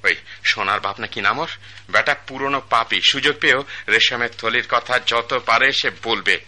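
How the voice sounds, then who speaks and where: An actor playing a rich, anxious, middle-aged father who blusters and loses his temper, in a radio play. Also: mid-range voice. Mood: worried. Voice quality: blustering, quick to shout. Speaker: wealthy father. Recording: old radio broadcast.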